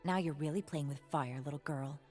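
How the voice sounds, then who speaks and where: A stern young female ninja assassin from a video game, played by an actress. Her voice is low, curt and deadpan, with a confident, cutting edge. Faint music sits behind her. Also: brisk, lightly acted. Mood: menacing, confident. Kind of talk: video game champion voice lines.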